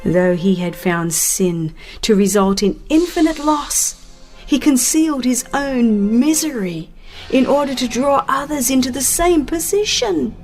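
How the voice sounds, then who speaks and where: Woman narrating a religious audiobook, really slow n solemn, kinda high voice, soft music under it. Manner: solemn, deliberate, dramatic phrasing. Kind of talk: dramatized scripted religious narration.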